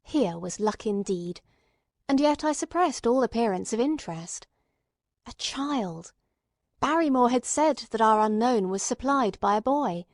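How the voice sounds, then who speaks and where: A woman's voice: a little high, expressive, unhurried, no echo. Woman narrating a classic story, close and dry. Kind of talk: scripted literary narration with acted dialogue.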